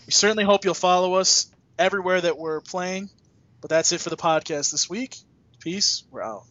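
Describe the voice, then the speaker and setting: A man with a fairly high voice hosts a podcast in a loose, joking style with some salty language. The sound is dry and a bit dull, like a lo-fi voice track. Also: moderate pace, fairly bright tone, no room sound. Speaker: podcast host. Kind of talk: casual podcast chat.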